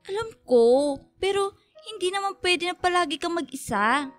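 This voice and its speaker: High female voice, Filipino accent, pushy loyal young best friend, chatty radio drama delivery, faint music behind. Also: expressive, normal speed. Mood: excited, insistent.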